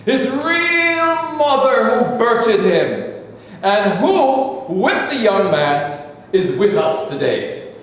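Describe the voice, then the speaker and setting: Grandiose minister played by a man, high-pitched slow orator, long hall reverb. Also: very animated, fairly bright tone, a little hiss or hum, heavily acted. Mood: solemn. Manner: oratorical, drawn-out swelling delivery. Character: male minister, grandiose and preachy. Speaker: actor in a staged reading. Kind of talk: stage reading of a sermon.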